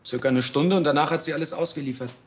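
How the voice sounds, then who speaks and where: A man's voice: fairly high pitch, fast talker, natural voice. An actor playing a guarded, curt butcher's journeyman in a naturalistic TV drama style.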